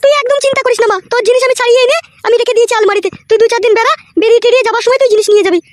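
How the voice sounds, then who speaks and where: Lady playing bossy old village mom in a cartoon, really squeaky high, very fast. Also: no echo. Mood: motherly, reassuring. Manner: scolding, brusque. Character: foul-mouthed, bossy elderly village wife and mother. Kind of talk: comedy cartoon dialogue.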